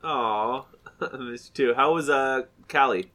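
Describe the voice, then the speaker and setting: A man's voice: mid-range voice, unhurried, a bit bright. A man in his thirties with a stuffy, nasal voice, co-hosting a casual chat podcast in a rambling, self-correcting way. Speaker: podcast co-host.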